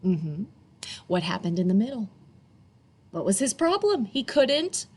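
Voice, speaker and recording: A woman reading a picture book aloud to children expressively, in a teacherly way, pausing for young listeners. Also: mid-range voice, very animated, breathy edge.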